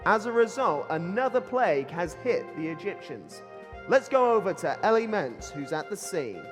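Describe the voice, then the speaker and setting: A man's voice: sits high, big pitch swings, moderate pace. A man playing a cheesy news anchor, presenting a scripted mock newsreel in an announcerly, punning style. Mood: announcing. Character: cheesy male newsreader anchor delivering dad-joke bulletins. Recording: long hall reverb, loud music bed.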